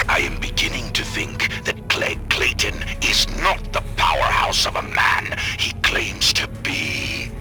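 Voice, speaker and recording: An undead warlord general from a video game with an extremely low voice. He speaks pompously and theatrically, swinging through his phrases with cold menace, over background music. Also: creaky, exaggerated voice. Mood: cold anger.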